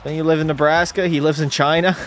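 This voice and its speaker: A man's voice: a little high, fast, soft background music. Casual man calling a video hockey game. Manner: relaxed, drops into terse hockey call mode. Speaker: streamer. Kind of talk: casual play-by-play commentary and chat reading.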